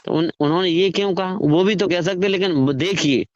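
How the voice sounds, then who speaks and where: A man's voice: sits high, normal speed, no echo, muffled recording. A man explains his disapproval in an informal phone conversation, often asking rhetorical questions. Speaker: religious storyteller-performer giving his opinion. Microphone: recorded phone call.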